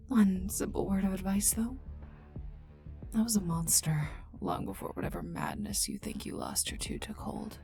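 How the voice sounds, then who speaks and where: A woman voicing a cold, menacing female poisoner agent, mocking and condescending, in an ASMR roleplay with breathy murmurs. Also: lowish voice, a little mellow, exaggerated voice. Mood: coldly knowing. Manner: slow, taunting, condescending, often dropping to a breathy murmur.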